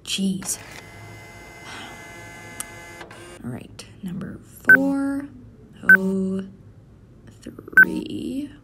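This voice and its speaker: A man's voice: animated, very slow, heavily acted. A man playing a prickly, flustered office worker who hides a crush, stammering and grumbling defensively in a tsundere roleplay addressed to the listener. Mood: defensive, snappy. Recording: faint rumbling office and city background noise.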